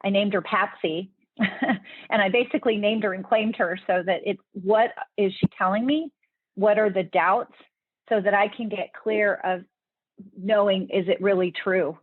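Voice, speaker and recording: A woman's voice: mid-range voice, fairly even intonation, normal speed, no echo. A woman answering interview questions in an earnest, explanatory way, often restarting her sentences. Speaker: podcast guest, leadership speaker. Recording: tinny phone-like remote line, dry.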